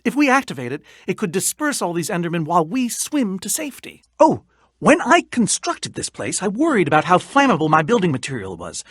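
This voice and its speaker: A man's voice: high voice, wildly swooping pitch, a little fast, slightly rough, no echo, studio quality, exaggerated voice. A man voicing an eccentric, boastful yet nervous inventor and adventurer in a video game, theatrical and wordy with hesitant asides. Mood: urgent, explanatory. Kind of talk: video game character voice acting.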